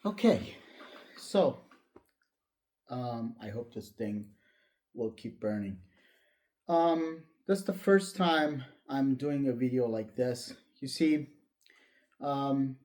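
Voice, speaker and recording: Soft, mellow man telling his story. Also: medium pitch. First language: not English. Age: late 40s. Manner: hesitant, candid, reflective. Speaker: vlogger. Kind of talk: personal life story told to camera.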